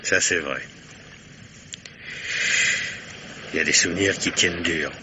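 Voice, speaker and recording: A man's voice: low-pitched, natural voice. A man playing a sly old fisherman. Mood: evasive. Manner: folksy, hesitant, slow. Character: older male oyster fisherman, sly and evasive. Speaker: fisherman Lestona. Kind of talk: 1950s French radio drama acting. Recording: old radio recording with hiss.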